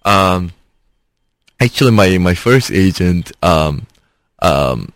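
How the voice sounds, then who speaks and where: A man's voice: low voice, animated, slow pace, no echo, clean studio sound. A young man with a mixed Singaporean and Indonesian accent, talking as an interview guest, hesitant with many fillers and restarts. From Southeast Asia. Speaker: guest, actor and filmmaker. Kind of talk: casual interview conversation.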